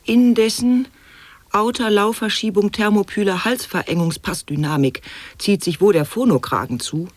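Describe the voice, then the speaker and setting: A woman's voice: medium pitch, moderate pace, no room sound, natural voice. A woman acting an eccentric chanter of mock-learned nonsense in an avant-garde radio play, theatrical and exclaiming. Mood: mock lecturing.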